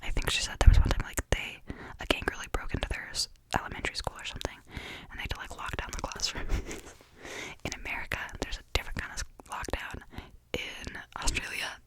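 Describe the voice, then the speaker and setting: A woman's voice: brisk, fairly bright tone, low-quality recording. A young woman from Canada, whispering to a close mic as she rambles casually with many fillers and restarts, in the style of ASMR. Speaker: ASMR creator.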